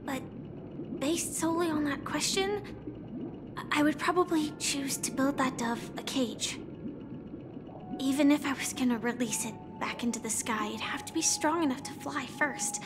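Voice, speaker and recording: A woman's voice: sits high, normal speed, music in the background, close to an everyday voice. An actress playing an earnest, thoughtful young woman in a game cutscene, speaking with sincere care. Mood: thoughtful, concerned. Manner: considered, sincere. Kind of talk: video game cutscene acting.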